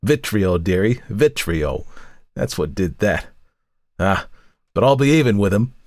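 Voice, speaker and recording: A man's voice: fairly low pitch, very animated, a little slow, dry recording, studio quality. A man reading aloud, voicing a scarred, cunning old woman with a wheezing, wheedling voice. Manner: leering, wheedling, calls everyone dearie.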